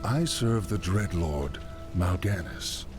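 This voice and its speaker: An actor playing a sinister, smug necromancer cult leader in a video game, speaking in a theatrical, taunting way. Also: male voice, deep voice, animated, slow, over music. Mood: fanatical. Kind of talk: video game cutscene acting.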